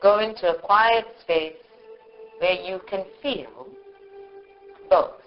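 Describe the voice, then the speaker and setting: A woman leading a guided meditation in short, measured phrases with long pauses, on a live recording. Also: medium pitch, muffled recording, soft background music. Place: live group meditation session.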